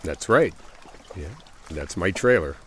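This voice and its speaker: A man's voice: low voice, lively intonation, slow pace, a bit bright, exaggerated voice. A man playing a friendly, bashful stranger in a boyfriend roleplay audio, talking casually and a little hesitantly. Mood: relaxed, friendly. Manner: hesitant, apologetic, chuckling, conversational. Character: friendly, bashful male stranger at a lakeside trailer park. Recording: outdoor water ambience noise.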